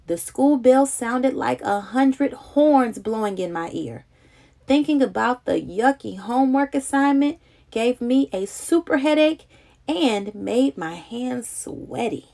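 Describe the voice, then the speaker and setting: A woman reading a children's picture book aloud in an expressive, dramatic, childlike storytelling manner. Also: a little high, slow, creaky.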